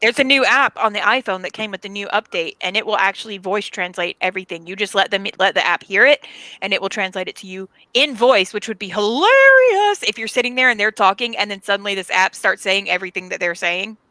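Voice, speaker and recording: A woman's voice: medium pitch, fairly quick, bright, forceful tone, no echo. A woman in casual chat who explains things at length and laughs often.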